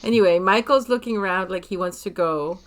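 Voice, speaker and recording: A woman's voice: mid-range voice, fairly quick. A woman co-hosting a casual group chat, teasing and wry.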